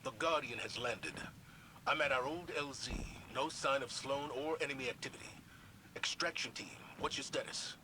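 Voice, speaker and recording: An actor playing a grave, resolute military commander, giving orders and briefings in a solemn, measured, authoritative way for a video game. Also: male voice, medium pitch, noisy background, close to an everyday voice. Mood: commanding.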